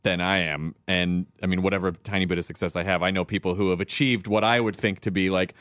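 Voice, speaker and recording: A man's voice: deep voice, steady tone, quick pace, a bit bright, no room sound, clean studio sound. A man hosting a casual chat, joking and swearing freely, often restarting his sentences.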